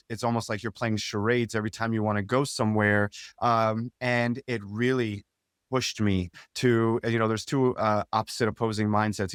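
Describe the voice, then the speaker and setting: A man's voice: fairly low pitch, smooth, dry recording, studio quality. Easygoing man hosting. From United States. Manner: easygoing, reflective, many "you know" fillers. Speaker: podcast host. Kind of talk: remote conversational interview.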